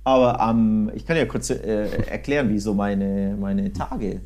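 A man's voice: fairly low pitch, fairly even intonation, a little slow, a little mellow, a little hiss or hum. A man chatting casually and colloquially as co-host of a football podcast. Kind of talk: casual chat, recounting match visits.

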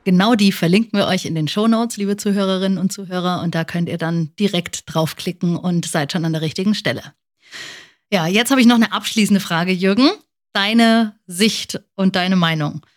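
A woman's voice: mid-range voice, moderate pace. A woman hosting a podcast, talking to her listeners and guest. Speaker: podcast host.

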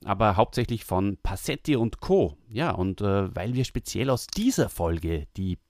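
A man hosting a podcast, chatting casually and relaxed with many fillers. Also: on the low side, measured pace, no room sound, clean studio sound. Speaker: podcast host.

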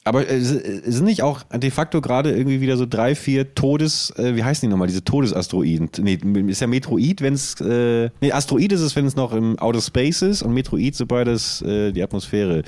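A man's voice: mid-range voice, expressive, fairly quick, few pauses, mellow. A man co-hosting a casual podcast, musing aloud and asking questions in colloquial language. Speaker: podcast co-host.

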